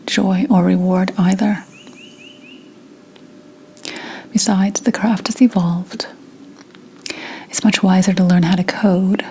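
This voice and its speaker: Woman acting as 17th-century witch, ASMR roleplay, whispery, shrewd, kindly, very unhurried, faint background noise. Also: mid-range voice, rough recording. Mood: wry, advising. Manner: hushed, deliberate, unhurried, mostly whispering.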